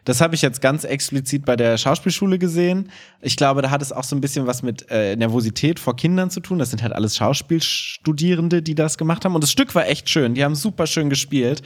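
A man's voice: a little high, fast talker. Casual man in conversation. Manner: colloquial, opinionated, acts out short quotes. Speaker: podcast co-host. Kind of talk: casual podcast chat about children's theatre.

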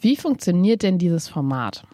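A woman's voice: on the low side, very animated, moderate pace, mellow, dry recording, studio quality. A woman co-hosting an improv podcast, chatting casually on a couch with a stuffy, nasal voice from a cold. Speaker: podcast co-host. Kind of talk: casual chat.

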